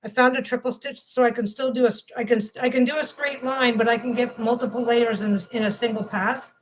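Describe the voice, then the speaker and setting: A woman's voice: on the high side, fairly even intonation, fairly quick, poor audio. A woman vlogging casually as she sews a quilt panel at home, rambling and often restarting her phrases. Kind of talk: casual chat while sewing.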